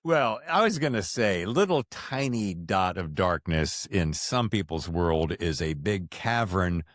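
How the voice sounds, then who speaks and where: A man's voice: deep voice, animated, measured pace, no echo, studio quality. A man hosting a podcast interview in a casual, informal and enthusiastic way. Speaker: podcast host.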